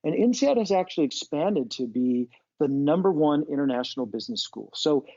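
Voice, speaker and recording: A man's voice: fairly high pitch, expressive, brisk, mellow, dry recording, highs cut off. An American man, a business school professor, talking through ideas in a casual podcast interview with frequent restarts. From United States. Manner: thoughtful, restarts often, many fillers.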